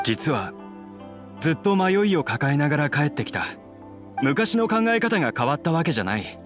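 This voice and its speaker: A man voicing a gentle, reflective outlaw swordsman in anime game style, soft-spoken, resigned and wistful. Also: a little high, big pitch swings, normal speed, faint music behind.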